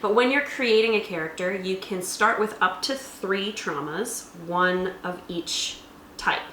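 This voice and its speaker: A woman's voice: mid-range voice, measured pace, a bit bright. A woman hosting a casual explainer, talking through tabletop game rules. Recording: echoey room, faint background noise.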